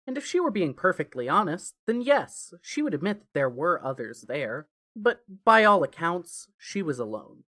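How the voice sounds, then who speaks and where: A woman's voice: fairly low pitch, very animated, moderate pace, hardly any pauses, fairly bright tone, dry recording, clean studio sound. A woman reading scripted fan fiction as the narrator of an audio story.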